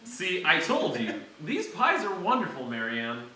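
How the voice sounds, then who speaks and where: Naive boyish young sailor played by a stage reader, very animated pitch, echoey noisy room. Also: moderate pace, far from the mic. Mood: earnest. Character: eager, naive, boyish male shipmate. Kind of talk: staged comedy script reading.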